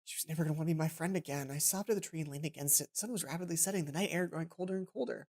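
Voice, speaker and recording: A woman's voice: sits low, racing, a little mellow. A woman doing a casual let's play, commenting playfully and reading visual novel text aloud. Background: game music behind the voice.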